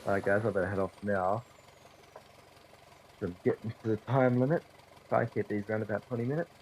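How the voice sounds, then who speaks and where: A young man with a cold and a hoarse, fading voice, rambling profanely and mocking himself while commentating a driving game. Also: on the low side, steady tone, a little fast, darkish tone, slight background noise, rough recording. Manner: profane, rambling, self-deprecating. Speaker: gaming video creator. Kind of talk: casual gameplay commentary. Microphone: desktop microphone.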